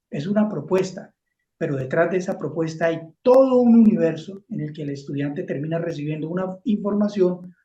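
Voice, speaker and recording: A man's voice: high-pitched, mellow. A man explaining ideas as a guest academic in a reflective, emphatic conversational way. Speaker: radio educator and guest panelist. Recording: echoey, dull muffled sound.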